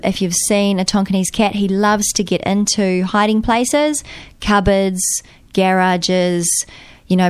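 Nasal New woman, smooth dry voice. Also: mid-range voice, no echo. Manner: earnest, appealing for help, light laughs. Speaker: guest. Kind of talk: casual chat.

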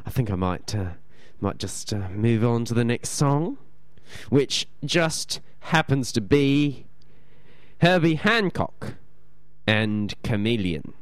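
A man's voice: mid-range voice, expressive, slow pace, no echo. A man hosts a small radio show in a dry, close studio sound. He rambles in a casual, self-mocking way, tripping over words and repeating himself as he reads his notes. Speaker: community radio host. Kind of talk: casual radio chat and reading aloud.

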